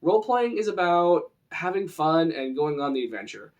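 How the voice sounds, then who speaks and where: A man's voice: on the high side, very animated, normal speed. A man presenting an informal tutorial on role-playing to camera, talking in a casual, explanatory way.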